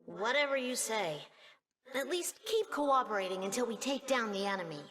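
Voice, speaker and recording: An inquisitive young hero's sidekick from a video game. The voice is lively and fairly high, skeptical and questioning, heard in long reverb with faint noise. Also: female voice, normal speed. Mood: wary, curious. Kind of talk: video game cutscene acting.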